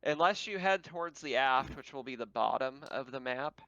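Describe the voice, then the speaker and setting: Man, game master, gravelly fry in the voice, casual explaining, slightly muffled recording. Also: medium pitch, animated, normal speed, crowd noise.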